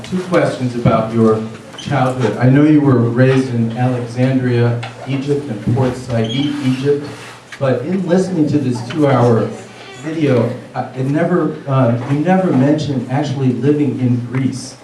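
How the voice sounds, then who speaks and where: A man's voice: mid-range voice, unhurried, hardly any pauses, a little mellow. Man hosting in a very echoey room. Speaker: event host and interviewer. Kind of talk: informal spoken introduction and questions. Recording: echoey room, distant mic, faint noise.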